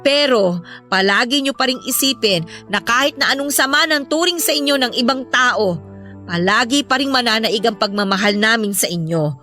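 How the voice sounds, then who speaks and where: Radio drama mother: high, very lively intonation, protective, earnest and admonishing. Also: normal speed. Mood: earnest, consoling. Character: protective, worried mother gently counselling her children. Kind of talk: emotional radio drama acting. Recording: music bed behind the voice.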